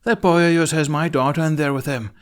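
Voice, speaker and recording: A man voicing a stubborn, troubled Viking father with a forceful, emphatic delivery in an audiobook. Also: on the high side, steady tone, a little fast, voice pushed up, lightly acted. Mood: angry, determined.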